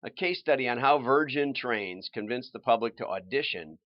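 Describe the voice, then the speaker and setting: A man's voice: mid-range voice, lively intonation, moderate pace, dry recording. A man hosting a livestream, chatting casually about adverts in a rambling, folksy way. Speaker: livestream host. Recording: slightly dull audio.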